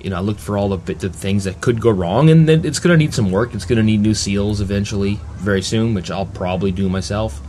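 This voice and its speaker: A man's voice: lowish voice, fast, few pauses, warm tone. A man hosting a podcast in a casual, jokey, rambling way. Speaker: photography podcast host. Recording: clear background noise.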